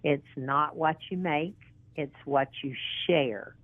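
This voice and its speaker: A woman in her sixties with a low voice talks slowly over a tinny phone line. She is earnest and encouraging. She often stops with ums and starts her sentences over. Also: dry recording. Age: 60s. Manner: halting, with frequent ums and restarts. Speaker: interview guest, model and actress. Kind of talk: casual phone interview.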